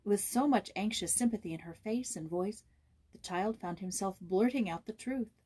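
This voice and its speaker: A woman narrating a children's storybook as scripted, expressive reading. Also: medium pitch, moderate pace, breathy edge. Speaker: audiobook narrator, voice actor.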